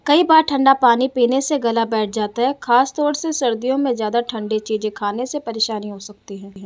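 A woman narrating home remedy tips over text slides in a plain, steady reading voice. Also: a little high, normal speed.

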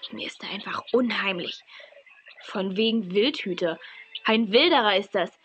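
A woman's voice: mid-range voice, normal speed, fairly bright tone, highs cut off, lightly acted. A woman playing an anxious, suspicious, outspoken girl on safari in a 1980s children's radio play. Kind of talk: 1980s German children's audio drama acting. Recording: noisy old cassette radio play.

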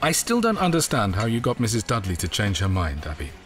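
A man's voice: lowish voice, wildly swooping pitch, fairly quick. An actor playing a modest, mild-mannered man in an audio drama, speaking politely and a little hesitantly. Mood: mild, content.

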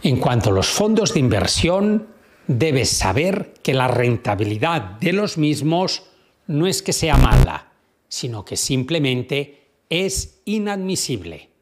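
A man's voice: fairly high pitch, sing-song, a little hiss or hum. A man in his fifties giving a scripted, emphatic explainer to camera through a studio microphone in a dim room. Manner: emphatic, measured delivery. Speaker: finance YouTuber. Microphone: studio mic on stand. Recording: background music under voice.

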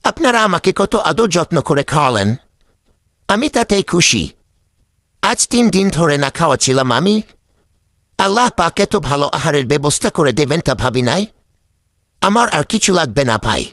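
A man's voice: a little high, big pitch swings, normal speed, pauses often, fairly bright tone, no room sound, studio quality. A man voicing a humble, weak, destitute man in a children's cartoon, speaking plaintively. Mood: humbly grateful. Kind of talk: children's moral cartoon dubbing.

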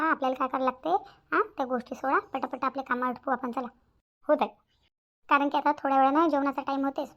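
A woman's voice: sits high, lo-fi sound. A woman voicing a young, grumbling village daughter-in-law in a cartoon, chatty and complaining. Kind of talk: Marathi cartoon voice acting.

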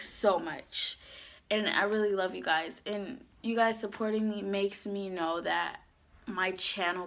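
A young woman chatting to camera in a casual vlog, her voice worn from a sore throat, animated and gesturing as she talks. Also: medium pitch, vocal fry. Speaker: YouTuber. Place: small indoor room, dark backdrop.

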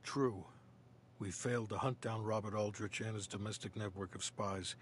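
A man's voice: lowish voice, steady tone, moderate pace, a little hiss or hum, lightly acted. A man playing a sober, businesslike intelligence officer giving a formal, matter-of-fact briefing in a video game. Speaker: game character voice actor.

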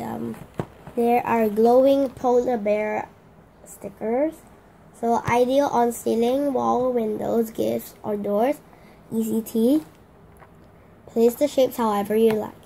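A teenage girl with a hoarse voice, chatting casually through an unboxing vlog with lots of fillers and restarts. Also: on the high side, mellow, slight background noise, low-quality recording. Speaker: vlogger.

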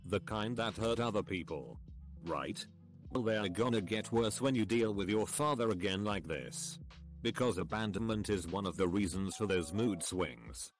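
A man reading a series of online forum comments aloud in a steady, even delivery. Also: lowish voice, normal speed, faint music behind.

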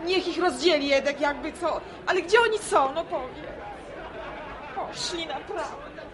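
A woman's voice: high-pitched, unhurried. An actress playing an anxious, pleading young village woman in a radio drama. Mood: worried, urgent. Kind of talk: Polish radio drama acting. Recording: busy crowd around the voice.

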